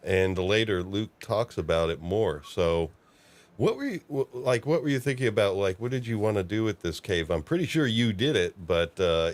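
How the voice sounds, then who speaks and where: A man hosting a casual interview, feeling out his questions in halting, tentative phrases. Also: deep voice. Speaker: podcast host interviewing a writer.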